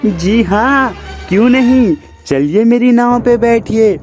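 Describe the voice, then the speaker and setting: A man acts out a simple village boatman in a cartoon moral tale. The voice is high and slow, polite and plain-spoken, with faint music behind it. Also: expressive, darkish tone, heavily acted. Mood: obliging. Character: village boatman, obliging then helplessly resigned.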